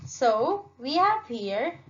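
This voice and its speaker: A woman teaching with a slightly high voice and a slow pace. She stops to correct herself and puts questions to the class. The recording is dull and lo-fi. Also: sing-song, fairly bright tone. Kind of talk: classroom lesson with read-aloud dialogue. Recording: dull lo-fi sound.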